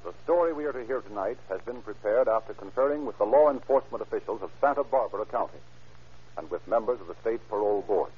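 Very raspy vintage American radio announcer man. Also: fairly low pitch, expressive, fast, faint music behind. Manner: formal, orotund, declarative. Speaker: announcer and sponsor spokesman. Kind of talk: 1930s radio scripted announcing. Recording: muffled vintage radio recording.

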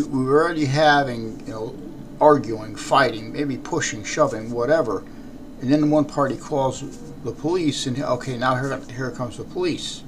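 An American man with a raspy voice hosting a podcast, talking off the cuff in a halting, rambling way. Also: mid-range voice. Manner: halting, throat-clearing, rambling. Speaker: podcast host. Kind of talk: unscripted podcast talk. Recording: clearly noisy background.

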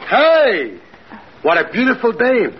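An actor playing a dim, pugnacious ex-prizefighter turned waiter, blunt and ungrammatical, in a 1940s radio comedy. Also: male voice, very high voice, wildly swooping pitch, full character voice. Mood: brash. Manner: blunt, ungrammatical, slow-witted. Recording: noisy, dull vintage radio recording.

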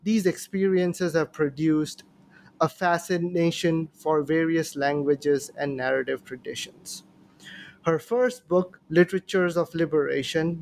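A man with a fairly high voice speaks as a podcast host. His delivery is formal and courteous, like a prepared introduction. There is some faint noise behind him. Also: darkish tone. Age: adult, professor. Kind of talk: scripted introduction and interview hosting. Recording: noticeable background noise.

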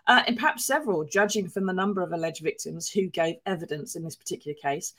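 A woman's voice: on the low side, quick pace, a bit bright, low-quality recording. A woman co-hosting a news podcast, telling stories in a chatty, wry way. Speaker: podcast co-host, newspaper columnist. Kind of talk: casual news chat.

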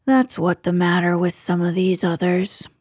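A woman voicing a gloomy, self-pitying old male donkey from a children's storybook, grumbling slowly and with resignation. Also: on the low side, darkish tone, slightly rough, no echo, studio quality, voice pushed down. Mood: mournful, grumbling.

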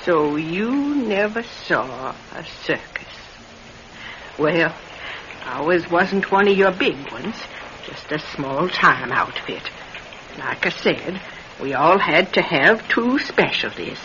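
An actress playing a slight old retired circus woman, meek yet sly, with a deliberate, folksy delivery in a radio drama. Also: deep voice, big pitch swings, full character voice. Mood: calm, reminiscing. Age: older. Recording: noisy, dull old radio drama recording.

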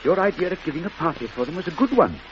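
A man acting a sweetly awkward British schoolmaster in vintage radio drama. His high voice swings up and down as he stammers and hesitates. The recording is dull and old, with music behind. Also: expressive, rapid-fire, lightly acted. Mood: gentle, wistful. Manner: hesitant, stammering, formal and polite.

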